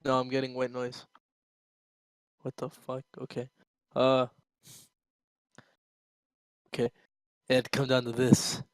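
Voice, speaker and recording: A man's voice: mid-range voice, completely flat delivery, slow pace, long pauses, clear, resonant tone, no room sound, low-quality recording. A man reads a story aloud in a casual, amateur way. He stumbles a little and sounds amused, and the recording is dry with very little room sound. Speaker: amateur reader.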